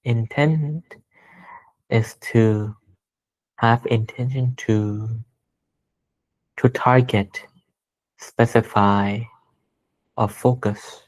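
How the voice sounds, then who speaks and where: Very slow-paced man guiding meditation. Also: medium pitch, fairly even intonation, rough recording. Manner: gentle, deliberate, pausing between short phrases. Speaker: meditation teacher. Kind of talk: guided meditation instruction.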